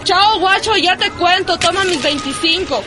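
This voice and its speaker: A woman plays a cool young rock fan in a radio serial. Her high voice has an Ecuadorian accent, with brisk, bossy, slangy delivery. Music is clearly heard behind her. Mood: breezy, curious. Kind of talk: naturalistic radio drama dialogue.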